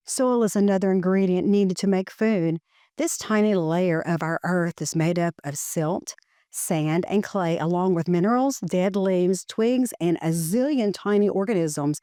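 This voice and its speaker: A woman reads a children's educational picture book aloud in measured, deliberate phrasing. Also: on the low side, warm tone, no room sound, clean studio sound.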